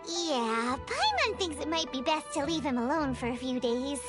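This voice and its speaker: A woman's voice: sits high, sing-song, normal speed. A woman voicing a tiny, perky, snack-loving floating companion girl in a video game. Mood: hesitant. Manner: speaks of self in third person, perky. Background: music.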